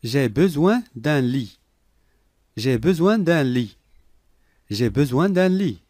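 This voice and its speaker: Male lesson reader: deliberate, clear, repeats each phrase, animated intonation. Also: mid-range voice, no echo, studio quality. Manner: clear, deliberate, each sentence said three times. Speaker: language lesson voice. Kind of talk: slow repeated phrase reading for learners.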